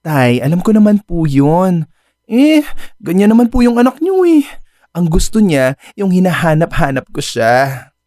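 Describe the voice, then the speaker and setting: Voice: mid-range voice, sing-song, unhurried, dark tone, no echo, clean studio sound. A performer in a Filipino radio drama playing a clingy, sweet, teasing and persistent lover who coaxes playfully. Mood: cheerful, fond. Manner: playful, wheedling, coaxing.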